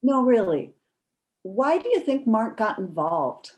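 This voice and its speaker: A woman's voice: fairly high pitch, very animated, slow pace, a little mellow. A woman acting a coy, sheepish friend who guards a secret, giving hesitant, evasive replies in a conversational comic one-act.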